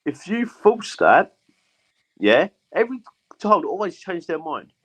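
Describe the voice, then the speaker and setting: A man's voice: high voice, very animated, unhurried. A man on a podcast giving earnest advice in casual chat, with lots of "yeah". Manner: earnest, advising, says "yeah" a lot. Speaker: podcast guest.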